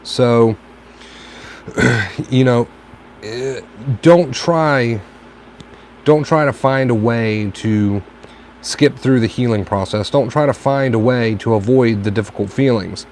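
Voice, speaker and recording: A tired man with a raspy, dry throat, giving calm advice on a casual live stream and repeating his points for emphasis. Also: mid-range voice, takes it slowly, pauses often. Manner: reflective, repeats phrases for emphasis. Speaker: host answering viewer questions. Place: home stream from a tablet. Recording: faint background noise, lo-fi stream.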